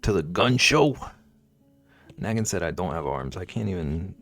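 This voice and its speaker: A man's voice: deep voice, lively intonation, moderate pace, fairly bright tone, soft background music. A man streaming live, chatting casually and playfully with viewers while he draws. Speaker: livestreamer.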